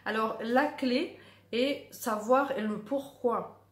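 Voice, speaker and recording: A woman's voice: fairly high pitch, slow. A middle-aged woman, a drawing teacher, talking casually to the camera and earnestly stressing her points by repeating words. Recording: echoey room.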